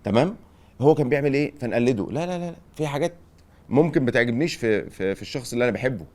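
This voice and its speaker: A man's voice: mid-range voice, animated, very fast, darkish tone. A middle-aged man with an Egyptian accent hosting a chat and giving advice, often checking in with rhetorical questions. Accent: Egyptian Arabic. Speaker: podcast host, former football director.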